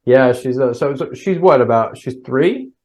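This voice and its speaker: Middle-aged New Jersey guy, mid-pitched, rambling casual podcast talk with lots of restarts. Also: lively intonation, moderate pace, a little mellow. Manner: rambling, restarts often, acts out his kids' voices. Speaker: podcast guest. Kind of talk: casual chat.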